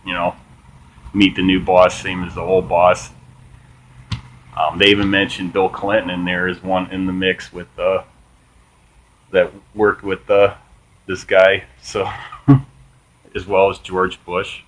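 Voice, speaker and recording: A man hosting a small political commentary channel, reading from an article and chatting casually, with a flat monotone delivery and lots of ums and uhs. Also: low voice, slow pace, pauses often, a little hiss or hum, low-quality recording. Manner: swears casually, says "man" often.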